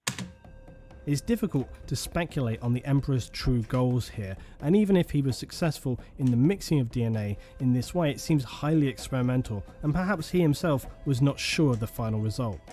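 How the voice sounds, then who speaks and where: A man giving scripted documentary narration on lore in a measured, solemn manner. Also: mid-range voice, expressive, dark tone. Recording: faint music bed behind.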